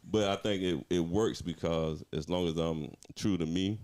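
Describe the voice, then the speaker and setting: A middle-aged man, a music director, talking as a guest in a casual interview, reflective and relaxed with frequent restarts. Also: sits low, moderate pace.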